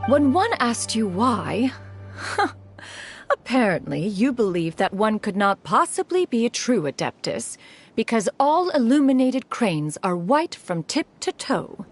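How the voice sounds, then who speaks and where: A woman's voice: on the high side, big pitch swings, a little slow, husky, exaggerated voice. A woman voicing an aloof, formal female crane spirit who speaks in an archaic style and calls herself "one", in a game cutscene. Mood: amused storytelling. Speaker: game character. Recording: game cutscene audio over music.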